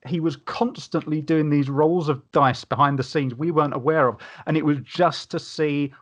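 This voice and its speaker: Slightly high-voiced man chatting on a podcast. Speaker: podcast panellist. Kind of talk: casual chat about gaming.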